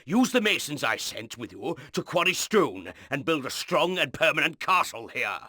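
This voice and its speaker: An actor playing a gruff, hot-headed medieval knight with an emphatic, forceful manner in a video game. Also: male voice, a little high, big pitch swings, normal speed, dry recording, clean studio sound, heavily acted. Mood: grudging, commanding. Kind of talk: video game briefing acting.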